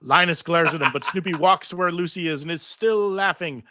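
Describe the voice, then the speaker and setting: Bright-voiced man narrating stage directions. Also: on the high side, a little fast, dry recording, studio quality. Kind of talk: scripted narration at a live table read.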